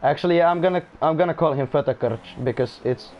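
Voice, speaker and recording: A man's voice: a little high, fast talker. Man, non-native english speaker, casual race commentary, hesitant, restarts, muffled lo-fi sound. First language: not English. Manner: hesitant, restarts often, apologetic. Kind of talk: casual live sim-racing commentary.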